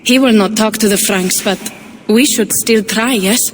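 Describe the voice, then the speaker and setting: An actress voicing an earnest, eager young shield warrior who is a little unsure of herself. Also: female voice, medium pitch, moderate pace, slight background noise, close to an everyday voice. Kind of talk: video game cutscene.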